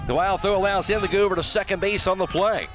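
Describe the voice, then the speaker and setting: An American man doing live radio baseball play-by-play, calling each pitch in short, clipped phrases. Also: fast, music in the background. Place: at an outdoor high school baseball game.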